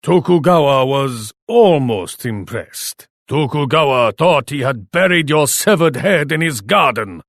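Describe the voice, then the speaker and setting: An actor playing a proud, imperious Japanese warlord in a video game, speaking formally. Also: male voice, mid-range voice, animated, measured pace, hardly any pauses, fairly bright tone, dry recording, clean studio sound. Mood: menacing, scornful. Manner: imperious, formal, speaks of himself in third person. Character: proud, haughty Japanese warlord commanding his armies. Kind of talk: video game character voice lines.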